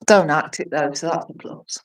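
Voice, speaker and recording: A woman's voice: low voice, moderate pace, recorded from a distance. Chatty woman in her forties, slightly off-mic. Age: 40s. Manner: chatty, rambling, excitable. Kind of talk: casual chat.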